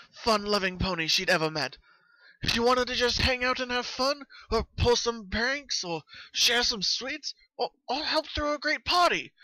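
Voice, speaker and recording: A distraught young female pony from a cartoon, acted out by a man, speaks in a raised, forceful pitch. She is shaken and guilt-ridden, stammering as she pleads. The sound is bright and dry. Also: dry recording, full character voice, poor audio.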